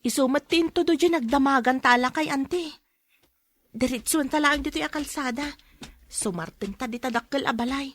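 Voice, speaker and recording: A woman's voice: sits high, normal speed, lightly acted. A woman playing a helpful younger niece in a radio drama, with an Ilocano accent. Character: younger niece Jenny, helpful companion to her aunt.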